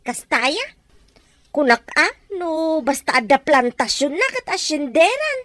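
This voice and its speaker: An actress playing a nosy, excitable woman who gossips and needles in an Ilocano radio comedy drama. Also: sits high, measured pace, no room sound, exaggerated voice. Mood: chatty, pushy.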